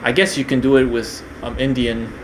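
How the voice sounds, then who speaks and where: Young man from Korea, casual unscripted talk, lots of ums and restarts, even mid pitch, steady hum behind. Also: moderate pace. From Korea, raised partly in New Zealand. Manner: hesitant, many fillers and restarts. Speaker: video creator.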